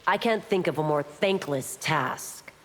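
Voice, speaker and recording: A woman's voice: low voice, unhurried, fairly bright tone. A woman playing a stern, proud female constable in a fantasy video game, formal and authoritative. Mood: disdainful. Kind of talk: video game character dialogue. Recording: long hall reverb, faint noise.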